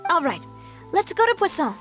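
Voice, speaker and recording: A spirited young lady leader from a video game, with a high voice. She sounds earnest, proud and determined, delivering lines expressively. Music runs clearly underneath. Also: big pitch swings, moderate pace, a bit bright, full character voice. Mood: hopeful, determined. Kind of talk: video game cutscene acting.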